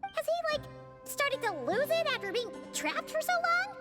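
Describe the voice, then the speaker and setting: Casting: small childlike game sidekick, extremely high, bouncy pitch, blunt and curious. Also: female voice, very animated, moderate pace, pushed and bright, faint music behind, full character voice. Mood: baffled. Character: small, childlike, chirpy and puzzled companion character. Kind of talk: game character dialogue.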